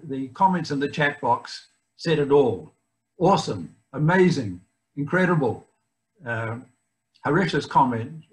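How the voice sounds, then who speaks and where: An older man with a New Zealand accent, giving a guest speech over a video call from his home library, often pausing with fillers. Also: a little high, rough recording. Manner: halting, many fillers and restarts. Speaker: guest speaker.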